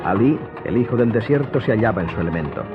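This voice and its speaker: A man narrating a radio novel in a measured, literary, dramatic storytelling style. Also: lowish voice, lively intonation. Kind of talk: dramatic scripted audiobook narration. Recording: muffled audio under prominent music.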